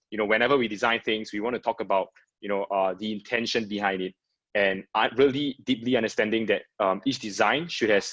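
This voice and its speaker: A man's voice: lowish voice, a bit bright. A man from Singapore, a product designer, giving a casual webinar presentation with frequent fillers and repeated words. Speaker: guest speaker, product designer. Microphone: video call. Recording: dull lo-fi video call audio.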